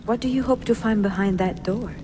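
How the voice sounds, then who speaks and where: An actress playing a cultured, curious, gently teasing traveler in a game cutscene. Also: female voice, medium pitch, fairly quick, background noise, close to an everyday voice. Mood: concerned. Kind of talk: dramatic game cutscene dialogue.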